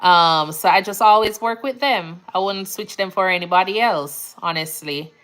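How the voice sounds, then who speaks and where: A woman's voice: medium pitch, normal speed. Chatty jamaican woman, laid-back. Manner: relaxed, chatty, laughs easily, Jamaican phrasing. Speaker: nurse livestream host. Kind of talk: casual livestream chat reading comments.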